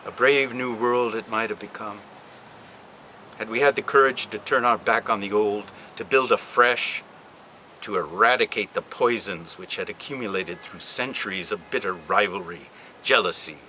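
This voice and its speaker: A man reading a book passage aloud, with an expressive, deliberate delivery and dramatic pauses. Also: lowish voice, slight background noise, poor audio. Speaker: reader. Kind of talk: literary reading.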